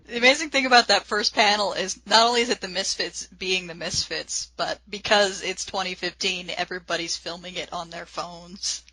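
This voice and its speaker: Bright-voiced woman co-hosting a casual chat. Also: on the low side, moderate pace, hardly any pauses, poor audio. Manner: amused, enthusiastic. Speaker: podcast co-host.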